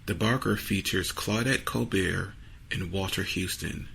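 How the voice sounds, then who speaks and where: A man with a gravelly voice hosting a show, giving a casual, prepared introduction to an episode. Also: sits low, measured pace, fairly bright tone, a little hiss or hum. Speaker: podcast host.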